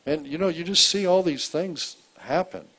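A pastor guiding a church service, encouraging people and calling out praise between prophetic words. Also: male voice, a little high, moderate pace, sharp tone, rough recording. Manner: encouraging, calls out praise and amens.

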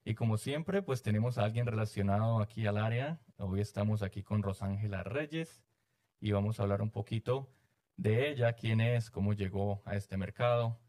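A man hosting a casual interview show, friendly and quick to laugh, with short backchannel replies. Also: lowish voice, a little mellow. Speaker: host.

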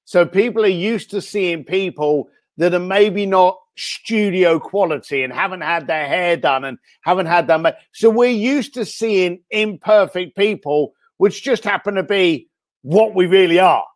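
A man's voice: high voice, a little slow, dry recording, clean studio sound. A man speaking as a guest on a podcast interview, giving blunt, punchy advice. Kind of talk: interview chat.

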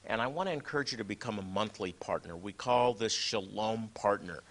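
A man hosting a religious show, teaching conversationally, often halting and repeating words as he explains. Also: fairly low pitch, a bit bright.

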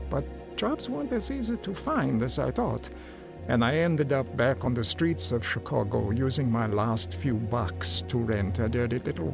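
A man's voice: medium pitch, vocal fry. An actor playing an earnest, naive young immigrant workman in a radio drama. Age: young adult. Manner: hesitant, halting broken English in dialogue. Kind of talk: radio drama acting and first-person narration. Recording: music bed behind voice.

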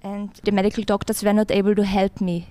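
Austrian woman in her thirties, German native, hesitant testimony with frequent pauses, mid-pitched voice. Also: level tone, dark tone. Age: 30s. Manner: hesitant, many pauses and fillers. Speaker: church visitor giving testimony. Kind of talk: interview testimony.

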